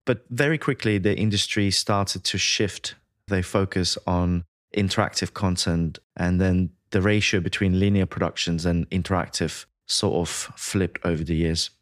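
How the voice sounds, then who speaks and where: Measured low-voiced Latvian-born man. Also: steady tone, dry recording, clean studio sound. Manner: measured, explanatory. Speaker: sound designer, guest. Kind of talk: interview answers.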